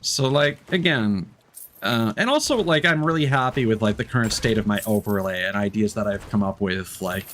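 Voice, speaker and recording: A man with a fairly low voice talks casually on a game livestream. He is candid and reflective, rambling through his thoughts with halting starts and self-corrections. Faint background noise sits under his voice. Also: animated, fairly bright tone. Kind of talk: casual streamer chat while gaming.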